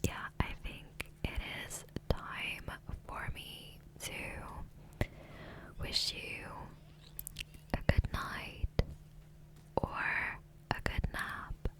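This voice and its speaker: Slow, whispering ASMR woman. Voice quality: soft breathy unvoiced whisper. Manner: rambling, gentle, unhurried. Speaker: ASMR gaming content creator and host. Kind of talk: whispered ASMR ramble, casual chat. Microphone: close USB condenser microphone.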